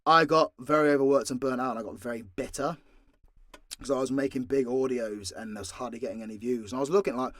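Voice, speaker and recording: A man's voice: mid-range voice, quick pace, a bit bright, dry recording. Dry-sounding British man chatting candidly. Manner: frank, sweary, rambling. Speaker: audio role-play creator and voice actor. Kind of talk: candid, informal Q&A chat.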